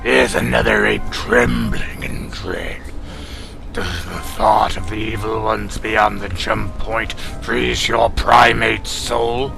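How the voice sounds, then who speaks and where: A gravelly, growling alien cat warrior in a sci-fi audio drama. He speaks slowly and forcefully, full of haughty scorn, low and hard-edged. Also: steady tone, soft background music, heavily acted. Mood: scornful. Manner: haughty, taunting, slow and forceful. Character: gruff, scornful male catlike alien warrior.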